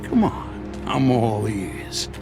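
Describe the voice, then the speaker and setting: A man's voice: on the low side, sing-song, extremely slow, over music, exaggerated voice. A man playing an angry, aging Italian mafia boss in a video game cutscene, commanding and sardonic, with menace. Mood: angry, threatening. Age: older. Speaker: crime boss.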